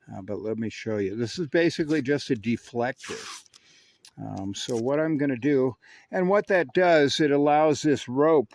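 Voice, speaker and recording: A man's voice: mid-range voice, a little mellow, dry recording. A man hosting a hobby video, explaining a hands-on job step by step in a folksy way. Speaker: host of a radio hobby channel. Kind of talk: hands-on how-to demonstration and narration.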